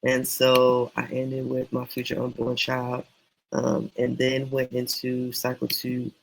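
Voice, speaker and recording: Gravelly, hoarse man chatting about writing. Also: mid-range voice, monotone, slow pace, a little hiss or hum, low-quality recording. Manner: chatty, meandering, encouraging. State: losing voice, hoarse. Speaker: self-published author teaching writing. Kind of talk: casual writing tutorial.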